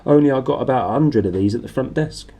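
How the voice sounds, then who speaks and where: A man's voice: mid-range voice, fast talker, warm tone, lightly acted. A man voicing a polite, put-upon woman who owns a hotel, in a children's storybook reading. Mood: polite, querying.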